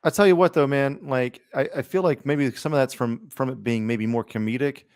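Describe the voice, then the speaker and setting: A man's voice: mid-range voice, a little fast, darkish tone, clean tone, no echo, studio quality. A man co-hosting a podcast in casual chat, with a slangy, sweary, conversational way of talking. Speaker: podcast co-host.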